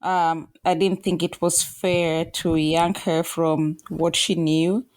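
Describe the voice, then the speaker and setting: A woman in her forties from Kenya talks as a guest, thoughtful and halting, often pausing to find her words. Her voice sits low. The sound is dry and close. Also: level tone, a little mellow, dry recording. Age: 40s. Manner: reflective, halting with fillers and restarts. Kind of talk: casual interview talk.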